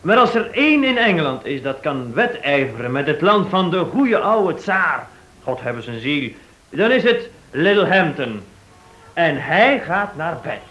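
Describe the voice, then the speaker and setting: An opinionated Russian émigré in a radio play. His voice is a bit high and very varied, full of theatrical longing. It echoes on a muffled old recording. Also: big pitch swings, unhurried, bright, forceful tone, exaggerated voice. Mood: wistful. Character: wistful, opinionated Russian émigré loyal to the Tsar. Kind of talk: Dutch radio play acting.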